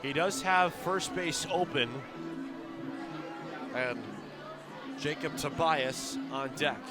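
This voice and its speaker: A male sports announcer with a fairly high voice calls baseball live from the ballpark. His delivery is descriptive and fluent, in classic radio play-by-play style. Crowd noise is clearly audible behind him. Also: slow pace, loud music behind the voice. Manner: fluent descriptive calling, building to excited shouts on big plays. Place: outdoor baseball stadium broadcast position.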